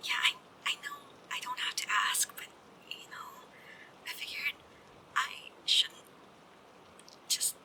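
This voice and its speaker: A young woman playing an affectionate, troubled college-age best friend in an intimate audio roleplay, speaking softly and hesitantly with sighs. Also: extremely high pitch, in a whisper, full character voice, poor audio. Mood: hushed, hesitant. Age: young adult. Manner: soft, hesitant, slow with sighs. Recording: steady background noise of rain. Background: rain sounds.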